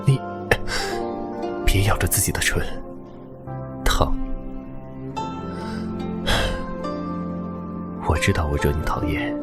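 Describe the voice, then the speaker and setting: An actor playing a tender, hesitant, lovelorn immortal nobleman in a Chinese audio drama, speaking in halting, breathy, earnest phrases. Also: extremely low pitch. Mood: subdued, pained. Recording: loud sad new-age music behind.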